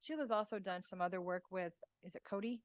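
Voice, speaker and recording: A woman's voice: mid-range voice, fast talker, no echo, studio quality. A woman from the extension staff, explaining a grant proposal to a county committee in a conversational way and answering questions. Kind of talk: committee meeting presentation.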